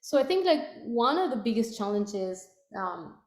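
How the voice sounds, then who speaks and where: A woman's voice: on the high side, very animated, moderate pace, darkish tone. A woman with a Spanish accent, a native Spanish speaker from Latin America, speaking as a product leader on a panel, hesitant with many fillers and restarts. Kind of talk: panel conversation. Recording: echoey room.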